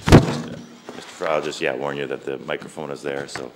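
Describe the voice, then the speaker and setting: A man's voice: extremely low pitch, steady tone, moderate pace. A man chairing a council hearing as mayor, keeping it brief and procedural. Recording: noisy council chamber mic.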